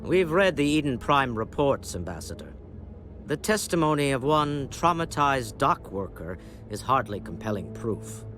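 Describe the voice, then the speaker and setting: Haughty alien politician, condescending, formal, animated pitch, faint music, game cutscene. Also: male voice, moderate pace. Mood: dismissive. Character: dismissive, haughty male alien councilor. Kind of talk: video game cutscene acting.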